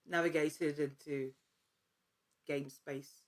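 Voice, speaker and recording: A woman in her thirties talking casually as an interview guest, with a halting, informal, self-aware manner. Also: low voice, steady tone. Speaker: podcast guest, actor and writer.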